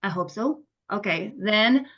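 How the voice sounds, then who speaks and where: A woman improvising a short speech to a group, halting, with non-native phrasing, on muffled lo-fi audio. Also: mid-range voice, animated, fairly bright tone. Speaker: workshop participant in a role-play. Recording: dull, lo-fi audio.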